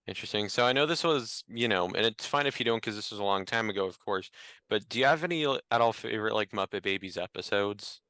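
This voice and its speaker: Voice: medium pitch, fast talker, a bit bright, dry recording. An interview co-host asking questions politely and tentatively in a casual podcast style.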